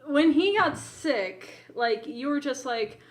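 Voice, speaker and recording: A woman's voice: high voice, expressive, slow pace. A woman co-hosting a casual podcast, telling stories in a playful, teasing way, peppered with "like". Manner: playful, teasing, often says "like". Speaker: podcast co-host. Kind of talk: casual chat, animated storytelling.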